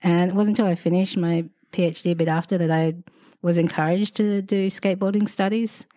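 Chatty Australian woman in her forties, mellow. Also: lowish voice, steady tone, a little fast, no echo. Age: 40s. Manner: chatty and rambling, many fillers and asides, laughs often. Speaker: podcast guest, sociologist. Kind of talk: casual conversational interview.

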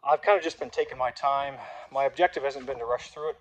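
A man in his thirties giving a relaxed, rambling walkthrough of his gym. He films himself on a phone held at arm's length in a large warehouse space. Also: medium pitch, quick pace, slight background noise, rough recording. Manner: rambling and relaxed, with fillers and restarts. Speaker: gym owner vlogger. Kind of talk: casual walkthrough vlog.

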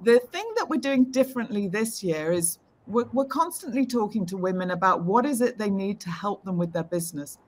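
A woman's voice: medium pitch, animated, a little fast, hardly any pauses. British woman, 50s, fluent upbeat executive guest, video call audio. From United Kingdom. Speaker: interview guest, tech executive. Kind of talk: remote interview answers. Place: home room, indoors.

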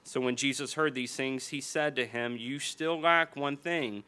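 An American man in his forties delivers a sermon from a church lectern into a small stand mic. His voice is bright and forceful at a middle pitch. He reads scripture earnestly, slows down to lean on key phrases, and repeats them. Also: fairly even intonation, smooth. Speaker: pastor. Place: church hall stage at a lectern.

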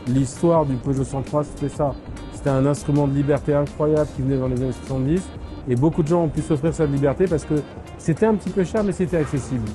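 A middle-aged man, a motorcycle company executive, answering interview questions with quick enthusiasm and many fillers. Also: on the high side. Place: dark exhibition stand, indoors. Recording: background music.

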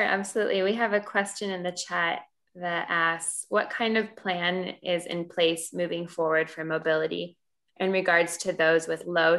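A young woman co-moderating on a video call, reading out a question from the chat slowly and carefully. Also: on the low side, creaky, a bit bright.

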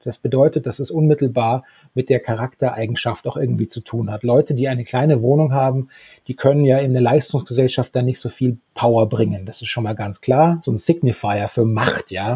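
A man in his thirties co-hosting a podcast, discussing a radio drama in a conversational review style, with a husky voice. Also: mid-range voice, mellow, dull audio. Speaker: podcast co-host. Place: home room with bookshelves. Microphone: desktop microphone, close.

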